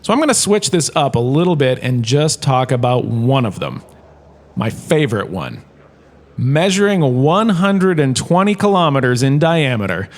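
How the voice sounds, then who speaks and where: A jaunty male history professor, played by a man in a comedic audio drama, delivers his lecture in a fairly high voice. He is chatty and opinionated, with the theatrical flair of a washed-up actor. His wry delivery leans on emphatic pauses. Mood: opinionated, playful, then grand, dramatic.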